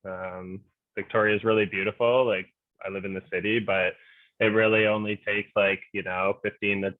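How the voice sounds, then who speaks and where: Low-voiced man on a tinny line. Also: moderate pace, no echo. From Ontario, Canada. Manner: relaxed, easygoing, many fillers and laughs. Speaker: co-host, game fan group. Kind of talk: casual livestream chat. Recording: dry, tinny phone-like audio.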